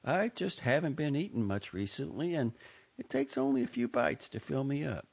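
Dry brisk young woman from a storybook, a man doing her voice up high, gravelly and husky, muffled lo-fi sound. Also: lively intonation, a little mellow. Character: sharp-tongued young woman leaving her hometown.

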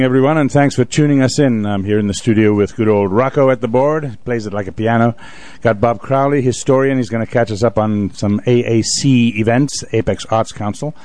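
Low-pitched man hosting radio, close dry sound. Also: a little mellow, dry recording. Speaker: radio show host. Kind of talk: casual radio talk.

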